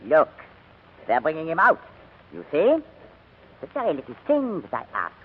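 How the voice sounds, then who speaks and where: Coldly menacing German major, old radio. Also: male voice, on the high side, wildly swooping pitch, normal speed, slightly rough, full character voice. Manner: clipped, coolly menacing, formal. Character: cold, menacing German army major interrogator. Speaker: actor in a radio drama. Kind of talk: 1940s radio drama acting. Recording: dull, noisy 1940s radio recording.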